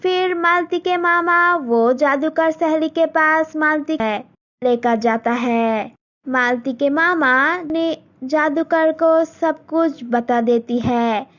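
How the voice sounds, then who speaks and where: A woman narrates a cartoon story in a plain, slightly halting way. Her voice is very high and her pace is slow. The recording is dull and lo-fi. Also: fairly bright tone.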